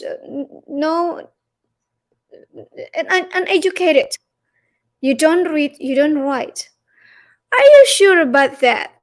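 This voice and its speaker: A woman with an Indonesian accent presents a religious lesson in a very high-pitched voice. Her delivery is slow and halting, with emphatic stresses. She leans on challenging rhetorical questions as she teaches.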